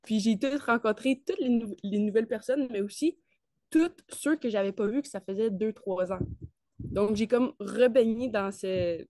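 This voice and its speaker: A woman's voice: medium pitch, normal speed, dark tone, dry recording. Young Quebec French woman, dry close sound. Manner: colloquial, enthusiastic. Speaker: interview guest, network marketer. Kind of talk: casual chat.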